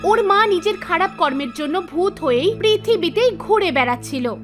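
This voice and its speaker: A woman narrating an animated folk tale in a scripted storytelling style. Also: very high-pitched, normal speed. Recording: music under the voice. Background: background music.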